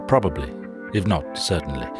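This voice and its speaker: A man hosting a sound documentary, narrating a scripted introduction in a measured, wry storytelling manner. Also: a bass voice, lively intonation. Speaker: show host and narrator. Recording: narration over loud music.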